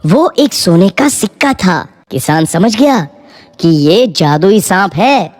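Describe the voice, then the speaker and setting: A woman's voice: mid-range voice, slight background noise. A woman narrating a scripted moral story for children as a storyteller. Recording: music behind the voice.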